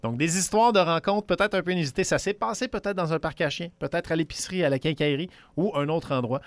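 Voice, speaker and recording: A man's voice: fairly high pitch, fast talker, no room sound. A man with a Quebec French accent hosting a casual radio chat. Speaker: radio host.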